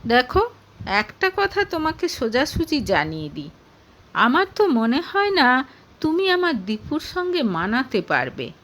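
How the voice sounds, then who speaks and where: A woman's voice: high voice, very animated, measured pace, a bit bright, heavily acted. A woman voicing a haughty, scornful middle-aged mother in an audio story, interrogating and snapping with contempt. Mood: condescending.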